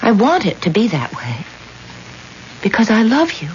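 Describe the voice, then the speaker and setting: A fragile, pleading wife from an old radio drama, high-pitched and very expressive. She sounds devoted and emotional, on a dull vintage recording. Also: normal speed. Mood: mournful, tender.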